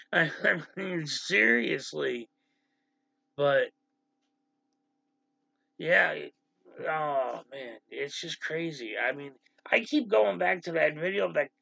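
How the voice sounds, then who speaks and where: A man with a gravelly voice hosting a casual livestream, talking animatedly and with exasperation to his chat. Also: a little high, dry recording. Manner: animated, exasperated, quick asides to the stream chat. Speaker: livestream host. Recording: slightly dull lo-fi stream audio.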